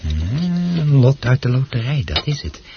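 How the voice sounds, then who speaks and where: A man's voice: medium pitch, sing-song, unhurried, gravelly. An actor playing a polite, eager male hobbyist in a radio play, courteous and a little hesitant. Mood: absorbed, pleased. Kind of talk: Dutch radio play acting. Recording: dull, hissy old radio play recording.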